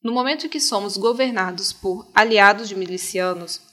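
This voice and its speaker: A woman's voice: mid-range voice, normal speed, sharp tone. A woman with a Brazilian accent presenting a podcast in scripted narration, articulate and opinionated.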